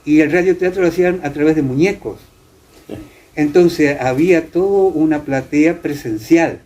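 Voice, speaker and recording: An Argentine man recounting history conversationally as an interview guest, halting now and then as he searches for names. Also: fairly high pitch, dark tone. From Entre Ríos, Argentina. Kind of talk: conversational storytelling. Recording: muffled, clear background noise.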